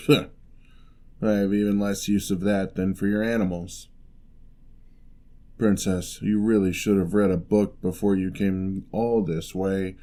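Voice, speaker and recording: A man playing a lonely, gentle male giant in a fantasy ASMR roleplay, speaking in a measured, wry, slightly formal way. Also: low voice, little pitch movement. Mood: amused, chiding.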